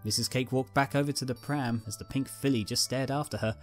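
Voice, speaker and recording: Very low-voiced woman reading a story. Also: fairly even intonation, a little fast, faint music behind. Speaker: narrator, fan fiction reader. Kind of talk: scripted story narration.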